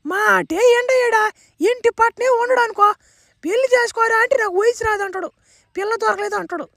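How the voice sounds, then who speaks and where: A nagging village aunt in a comic cartoon. The voice is extremely high and creaky, with earthy, complaining delivery and a dry sound. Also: female voice, moderate pace, fairly bright tone, dry recording. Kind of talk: comic Telugu cartoon dub.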